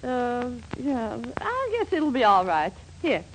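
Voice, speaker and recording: Very high-voiced, fussy shopkeeper. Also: female voice, huge pitch swings, takes it slowly, full character voice. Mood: doubtful, businesslike. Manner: hesitant, gossipy. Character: fussy, chatty female corset shop proprietor. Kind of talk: 1940s radio comedy acting. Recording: noisy 1940s radio recording.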